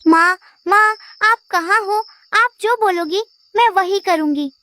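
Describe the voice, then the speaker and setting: A little girl bird from a children's cartoon, acted by a woman, with a very high, piercingly bright voice. She is clingy and tearful, pleading in a childlike way, and the sound is close and dry. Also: slow, dry recording, exaggerated voice. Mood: pleading, remorseful. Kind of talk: Hindi children's cartoon voice acting.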